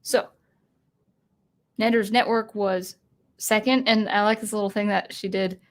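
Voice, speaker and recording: A woman's voice: medium pitch, fairly even intonation, measured pace. A woman hosting a casual livestream chat, talking off the cuff with fillers and restarts, at a desk mic in a home studio. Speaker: livestream host.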